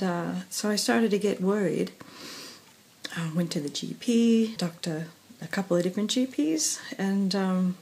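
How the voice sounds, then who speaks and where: Woman, young adult, husky breathy weakened voice, slow halting talk, many fillers, phone at arm's length. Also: on the low side. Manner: halting, many fillers and pauses, soft laughs. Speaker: professional singer vlogging. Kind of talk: casual personal vlog. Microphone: phone camera at arm's length.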